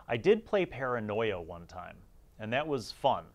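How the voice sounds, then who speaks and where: A man's voice: on the low side, big pitch swings, a little slow. A middle-aged man giving casual, opinionated review commentary in an informal, conversational way as the host of a series.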